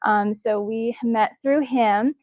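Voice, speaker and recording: A woman's voice: on the high side, steady tone, takes it slowly, no echo, highs cut off. A woman hosting a live wellness event, introducing guests with enthusiasm and plenty of fillers. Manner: enthusiastic, cheering, frequent fillers. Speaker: event host. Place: indoor event space.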